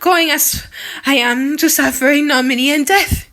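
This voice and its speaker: A woman's voice: high-pitched, normal speed, pushed and bright. A woman voicing a pious, wretched young servant woman condemned to die, pleading and emotional in a dramatic audiobook. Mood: anguished, sobbing. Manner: sobbing, pleading, breathy outbursts. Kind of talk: dramatic audiobook character acting.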